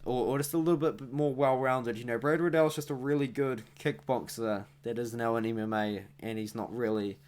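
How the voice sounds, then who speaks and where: Young kiwi guy rambling through an mma pick, mid-pitched voice, keeps talking to the viewers. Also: fairly even intonation, moderate pace, hardly any pauses, a little mellow, smooth. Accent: New Zealand. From New Zealand. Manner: chatty, rambling, self-correcting, with asides to viewers. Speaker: MMA analysis channel host. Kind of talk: casual fight prediction monologue.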